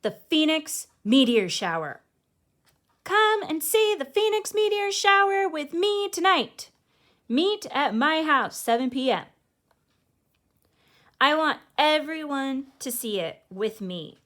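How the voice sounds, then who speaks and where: A woman voicing an excited, confident little girl who loves space, in an animated storybook read-aloud. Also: high-pitched, takes it slowly, voice pushed up, full character voice. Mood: excited, inviting.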